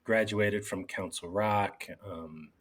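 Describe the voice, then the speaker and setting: Middle-aged man, lowish voice, rambling interview guest. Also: slow. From Bucks County, Pennsylvania. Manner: rambling, many fillers and restarts. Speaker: newspaper editor, guest. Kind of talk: casual interview talk.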